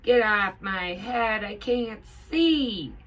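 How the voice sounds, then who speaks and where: Woman as a furious, high-pitched yelling storybook pilot. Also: lively intonation, very slow, full character voice. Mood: annoyed. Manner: yelling, stretched-out words. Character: furious, shouting airplane pilot. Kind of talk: children's storybook character voice.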